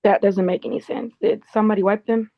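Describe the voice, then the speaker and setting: A woman playing a polite, loyal office assistant in a drama table read, conversational and gently concerned. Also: mid-range voice, fairly even intonation, quick pace, creaky, no room sound, muffled recording. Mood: concerned, helpful.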